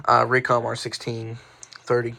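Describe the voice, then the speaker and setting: A man presenting his hobby collection in a casual, unscripted way, halting and often correcting himself as he goes. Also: medium pitch, monotone, vocal fry, pushed and bright. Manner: halting, offhand, self-correcting. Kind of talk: casual unscripted show-and-tell.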